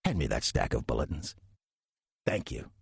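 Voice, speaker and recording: An actor playing a sly demon who passes as a folksy churchgoer, in a wry, calculated audio drama performance. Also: male voice, very deep voice, very animated, moderate pace, no room sound, studio quality, heavily acted. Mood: folksy, unruffled. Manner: smooth-talking, wry, calculated. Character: sly demon posing as a friendly small-town churchgoer. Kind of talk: audio drama acting and first-person narration.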